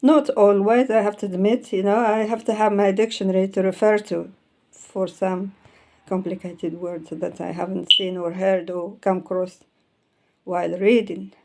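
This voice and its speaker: A woman in her fifties whose first language is Arabic talks through publishing and copyright in a loose, chatty way. She circles back with "so therefore" and stops to fix words halfway through. Her pitch sits mid-range for a woman. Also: steady tone, normal speed, a little mellow. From Arabic-speaking country. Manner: rambling, repeats "so therefore", self-corrects words. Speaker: self-published author. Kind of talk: casual explanatory talk, showing books.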